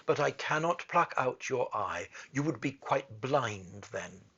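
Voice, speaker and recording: A man voicing a devoted, gentle male swallow in a storybook reading, speaking earnestly and pleading softly. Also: mid-range voice, lively intonation, slow, husky, lo-fi sound, exaggerated voice. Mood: tender.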